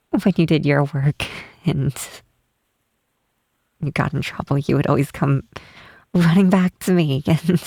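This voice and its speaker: A woman voicing a tall, shy, wistful half-troll cleric in a soft, hesitant ASMR roleplay, close to the microphone. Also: low voice, no echo, heavily acted. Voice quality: soft, breathy. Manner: hesitant, halting, tender. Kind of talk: ASMR roleplay, intimate softly spoken acting. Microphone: close microphone, dry.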